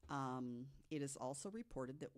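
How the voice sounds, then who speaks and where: Podcast co-host, mid-pitched, deliberate delivery from notes, conversational with emphatic asides. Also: clean tone. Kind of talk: storytelling from notes to co-hosts.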